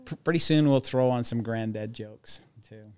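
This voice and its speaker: A man's voice: medium pitch, normal speed, crowd noise. A man co-hosting a casual podcast, upbeat and joking, with frequent fillers. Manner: upbeat, tells dad jokes, uses fillers. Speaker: podcast co-host.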